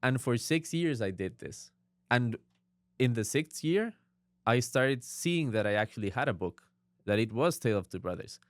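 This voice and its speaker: Costa Rican man, young, Spanish-accented English, earnest guest, smooth voice, dry close mic. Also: mid-range voice, animated, moderate pace, dry recording. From Costa Rica. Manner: earnest, frequent agreeing murmurs. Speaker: guest author. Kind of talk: casual interview.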